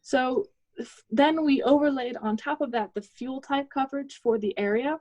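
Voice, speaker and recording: A woman's voice: sits high, moderate pace, a little mellow, dry recording, muffled recording. Young woman presenting, close dry sound. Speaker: student presenter. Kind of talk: technical project presentation.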